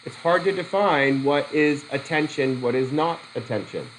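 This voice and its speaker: A middle-aged man with a cold teaching a psychology methods class, halting and restarting as he questions students in a whiteboard classroom. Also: mid-range voice, a bit bright, a little hiss or hum, rough recording. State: has a cold. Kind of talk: classroom lecture.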